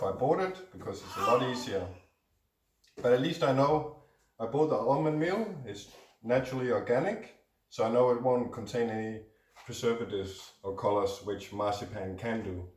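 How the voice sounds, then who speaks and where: A hoarse, congested man hosting a cooking show, chatting casually as he mixes ingredients in a home kitchen. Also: medium pitch, darkish tone. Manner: chatty, talks while mixing food. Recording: roomy echo, distant mic, faint noise.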